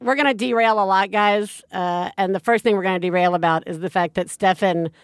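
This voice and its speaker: A woman's voice: mid-range voice, a little fast, no room sound, studio quality. A woman with a Southern US accent hosting a podcast in casual chat, rambling, laughing often and mocking herself. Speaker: podcast host.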